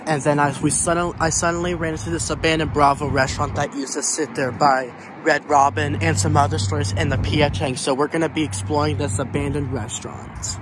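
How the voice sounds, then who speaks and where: A man's voice: fairly high pitch, little pitch movement, a little fast, few pauses. An American man giving a casual walking tour as a vlogger, rambling and repeating himself as he goes. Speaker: vlogger touring abandoned buildings. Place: outdoors, walking around a building and parking lot. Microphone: handheld camera or phone. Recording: outdoor handheld recording with clear ambient noise.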